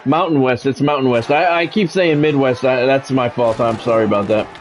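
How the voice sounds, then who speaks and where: A man's voice: medium pitch, brisk, a little hiss or hum. A man livestreaming a video game, giving casual commentary and chatting with viewers in a repetitive, explanatory way.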